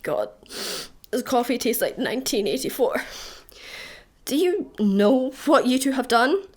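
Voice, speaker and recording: A woman's voice: on the high side, big pitch swings, a little slow, breathy edge, heavily acted. A woman playing an officious, contemptuous but easily cowed job centre bureaucrat in a sci-fi comedy radio play, talking pompously in jargon. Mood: indignant.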